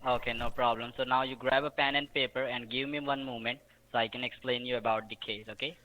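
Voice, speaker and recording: A man's voice: medium pitch, steady tone, fairly quick, pushed and bright. A man posing as an official on a phone call, asking questions insistently in broken, ungrammatical English.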